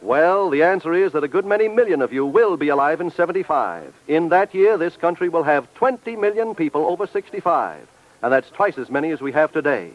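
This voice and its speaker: A man's voice: fairly high pitch, big pitch swings, brisk. A man working as a 1940s radio announcer, reading an advertisement formally and directly to the listener. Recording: muffled 1940s radio recording.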